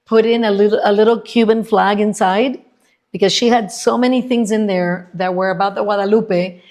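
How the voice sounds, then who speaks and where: A woman's voice: medium pitch, steady tone, moderate pace, a little mellow. Emphatic Cuban-born woman chatting. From Cuba, raised in Miami. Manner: emphatic, repeats words for agreement. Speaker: guest, expat resident. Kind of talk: casual conversation.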